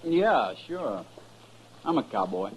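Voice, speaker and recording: A man's voice: mid-range voice, sing-song, takes it slowly. An actor playing a defensive, evasive drifter in a radio western, curt and folksy in his speech. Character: defensive, balky, evasive drifter of the Old West. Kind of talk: 1950s radio western acting. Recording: noisy old-time radio recording.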